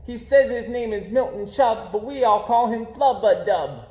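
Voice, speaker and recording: A man voicing a big, swaggering bully bear cub for a children's storybook, mocking in a drawn-out singsong. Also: high-pitched, animated, warm tone, voice pushed up. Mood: mocking chant. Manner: jeering singsong, drawn-out words. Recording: roomy, echoing home recording.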